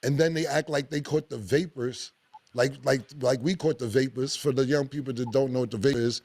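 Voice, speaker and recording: A man, hosting a podcast with blunt, animated opinions and a lot of swearing. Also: a little fast, no echo. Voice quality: deep voice. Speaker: podcast host. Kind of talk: casual opinionated podcast talk.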